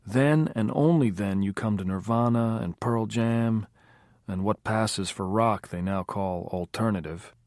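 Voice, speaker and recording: A man's voice: medium pitch, a little slow, no echo, clean studio sound, voice pushed up, lightly acted. A man voicing an opinionated male rock fan who lectures and lists bands. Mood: lecturing. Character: male rock-music know-it-all, opinionated.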